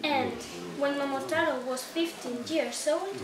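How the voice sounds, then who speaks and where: A woman's voice: high voice, measured pace. A young woman reading a story aloud as narrator of a puppet show. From Spain. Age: young adult. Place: small indoor room at a day care centre. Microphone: distant camera microphone. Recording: roomy, distant camera mic, clear noise.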